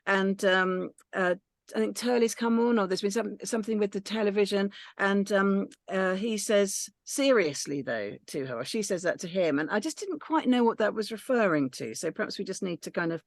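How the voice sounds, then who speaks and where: A woman's voice: mid-range voice, lively intonation, normal speed, dry recording, clean studio sound. A woman on a script reading panel, thinking aloud in a tentative, casual way.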